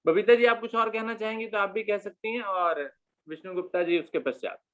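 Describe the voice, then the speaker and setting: A man's voice: high-pitched, fairly quick, fairly bright tone. Polite man hosting a call chat. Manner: polite, prompting guests to share memories. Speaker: discussion host. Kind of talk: casual group chat on a call. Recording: dull lo-fi call audio.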